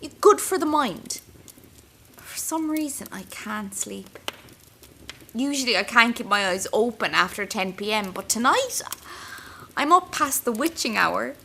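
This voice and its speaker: A woman's voice: fairly high pitch, expressive, a little slow, creaky, pushed and bright, a little hiss or hum, full character voice. A woman with an Irish accent playing an anxious, wry young intern confiding in her diary in an audio drama. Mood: wry, restless. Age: young adult. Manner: intimate, wry, self-mocking.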